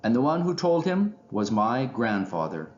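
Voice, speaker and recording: A man's voice: mid-range voice, big pitch swings, moderate pace, a little mellow, reverberant, lo-fi sound, slight background noise, natural voice. A man voicing a proud, self-assured female crow with a temper in a children's storybook, insistent in manner. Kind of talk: children's storybook character reading.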